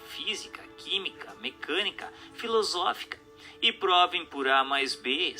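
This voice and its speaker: A man's voice: medium pitch, bright, forceful tone. A man reading a doctrinal text as dramatic, formal, declamatory scripted narration. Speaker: narrator of an audiobook radio drama.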